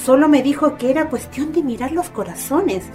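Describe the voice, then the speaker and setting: A woman playing a kindly, gently intrigued mother in a radio drama. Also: high voice, lively intonation, moderate pace, soft background music. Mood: intrigued, confiding.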